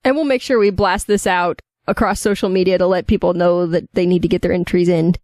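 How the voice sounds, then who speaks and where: A woman's voice: fairly low pitch, fairly even intonation, a little fast, a little mellow, no room sound. A woman co-hosting a casual podcast, speaking in an articulate, orderly way. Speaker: podcast co-host.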